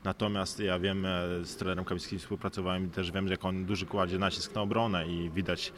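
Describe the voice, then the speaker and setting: Low-pitched man over noticeable background noise. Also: little pitch movement, a little fast. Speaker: professional basketball player, interview guest. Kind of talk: analytical sports interview talk. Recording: clearly noisy background ambience.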